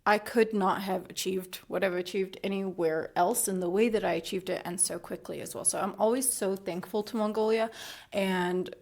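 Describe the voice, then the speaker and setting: A woman's voice: mid-range voice, steady tone, moderate pace, creaky, a little mellow. A young adult woman from Dubai, a guest on a podcast, talking in a casual, reflective way and laughing often. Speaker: podcast guest, school principal.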